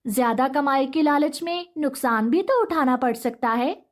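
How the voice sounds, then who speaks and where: A woman voices a principled village wife from a cartoon fable. She has a very high voice and an earnest, moralizing way of talking. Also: lively intonation, normal speed. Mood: advising. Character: honest, cautioning village wife. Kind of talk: cartoon moral story acting.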